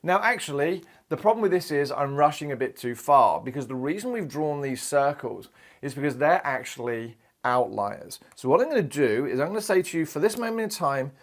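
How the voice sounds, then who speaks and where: Lively, chatty male maths teacher. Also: on the high side, a little fast. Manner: lively, chatty, acts out quoted voices. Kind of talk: recorded maths lesson. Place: indoor studio in front of a screen.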